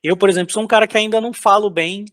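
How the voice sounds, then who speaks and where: A man from São Paulo, a concept artist, chatting casually in an interview while drawing, with loose, halting speech full of fillers. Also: high voice, fairly even intonation, fairly bright tone, no echo. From São Paulo, Brazil. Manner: loose, hesitant, lots of filler words and restarts.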